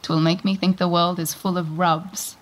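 A woman playing a sorrowful queen in a Shakespeare radio play, gently chiding her attendant. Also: lowish voice, level tone, moderate pace, close to an everyday voice. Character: grieving young queen in a garden, melancholy.

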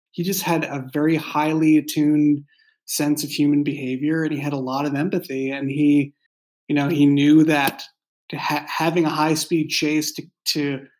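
A man speaking casually in an interview as a writer, thoughtful and halting, often repeating words as he goes. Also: fairly high pitch. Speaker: writer and creator of an audio drama. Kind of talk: casual behind-the-scenes interview.

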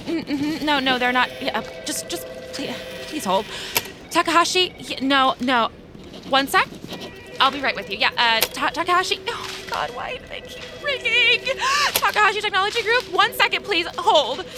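An actress in an audio drama playing a flustered, chatty young receptionist with a hurried, scatterbrained and casual manner. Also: female voice, very high voice, animated, over music.

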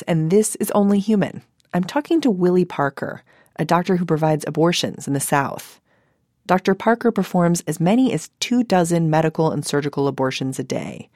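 A woman's voice: on the low side, darkish tone, dry recording, studio quality. A woman radio host delivering scripted narration and interview questions.

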